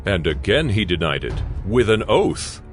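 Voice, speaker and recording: A man's voice: deep voice, sing-song, normal speed. A man narrating scripture solemnly as a scripted dramatization. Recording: loud dramatic music underneath.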